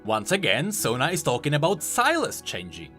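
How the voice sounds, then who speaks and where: A man's voice: on the high side, huge pitch swings, normal speed, pushed and bright. A man hosting a video game lore explainer, narrating from a script with emphatic, dramatic storytelling delivery. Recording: music bed under voice-over. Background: background music.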